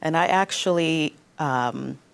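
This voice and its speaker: A woman's voice: low voice, takes it slowly, gravelly, smooth. A woman from Guyana, an interview guest who speaks articulately and laughs easily. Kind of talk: casual interview.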